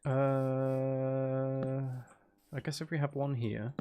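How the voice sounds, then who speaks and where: Guy streaming a game, kinda croaky, rambling through his plans out loud, bit of music in the back. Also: mid-range voice, little pitch movement, extremely slow. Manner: thinks out loud, sweary, banters at game characters. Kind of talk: casual gameplay commentary with chat.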